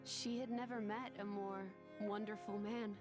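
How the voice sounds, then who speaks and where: A woman playing a gentle, thoughtful young female companion in a video game, speaking softly and with care. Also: mid-range voice, fairly even intonation, unhurried, music in the background, lightly acted. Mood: fond. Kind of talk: video game cutscene voice acting.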